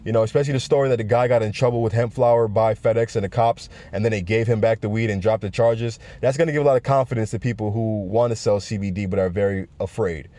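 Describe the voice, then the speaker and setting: A deep-voiced man, a podcast host, giving his opinions in a relaxed, conversational monologue and projecting his voice. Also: fast, hardly any pauses, no room sound. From South Florida. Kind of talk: casual solo podcast rant.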